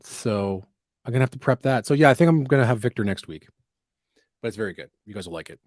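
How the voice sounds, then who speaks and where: A man's voice: mid-range voice, animated, darkish tone, no room sound. Rambling man, dry close voice. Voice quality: scratchy voice from allergies. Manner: rambling, quick asides. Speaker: podcast host. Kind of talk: casual livestream chat.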